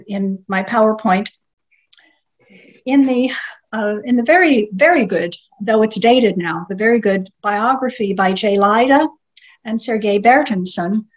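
An older woman whose first language is Russian, giving a measured online lecture from a home study with bookshelves, pausing often on fillers. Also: mid-range voice, poor audio. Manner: measured, with frequent filler pauses. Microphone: webcam video call.

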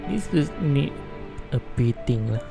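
A man's voice: mid-range voice, lively intonation, slow, creaky, over loud music. A man giving casual reactions while playing a game. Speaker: gamer commenting on playthrough.

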